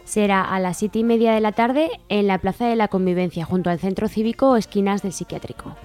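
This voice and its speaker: A woman's voice: medium pitch, fairly quick, darkish tone, faint music behind. A woman from Spain, co-hosting a radio show and reading out event listings.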